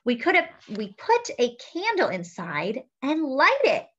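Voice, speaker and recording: A young woman reading a picture book aloud to children with animated, expressive delivery. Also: high voice, a little slow, breathy edge. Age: young adult. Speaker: children's story-time reader. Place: small room indoors at home. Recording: slightly dull webcam audio.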